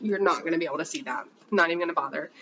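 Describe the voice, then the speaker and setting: Congested chatty woman, bright voice. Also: low voice, racing, dull audio, slight background noise. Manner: chatty, rambling, many fillers. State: congested from a cold. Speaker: podcast host. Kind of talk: casual chat showing yarn haul.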